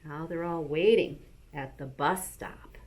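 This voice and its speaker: A woman, a school librarian, reading a bedtime story aloud to young children in an expressive, animated manner. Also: low voice, unhurried.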